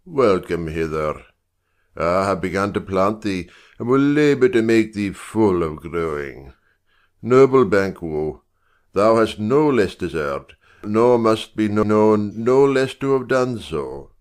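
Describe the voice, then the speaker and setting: A man's voice: on the low side, expressive, slow. A man playing a gracious, grateful king in a dramatic Shakespearean reading, speaking in a stately, declamatory way. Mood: warm welcome. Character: gracious, grateful old Scottish king bestowing honours.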